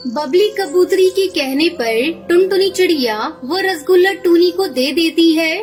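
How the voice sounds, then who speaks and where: A woman's voice: very high voice, animated, measured pace, faint music behind. A woman giving scripted narration of a children's moral story.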